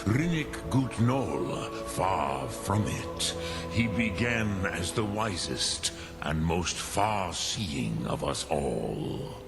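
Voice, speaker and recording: A man playing an ancient, wise male dragon in a fantasy game, speaking formally in a deliberate, musing and halting manner with a gravelly voice. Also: low voice, big pitch swings, music in the background, full character voice. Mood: pensive, philosophical. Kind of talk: fantasy game character dialogue.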